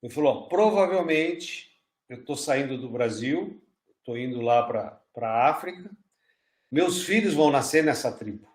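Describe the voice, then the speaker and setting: A man's voice: medium pitch, reverberant. A man, a pastor teaching a church class in a conversational, storytelling way with frequent restarts.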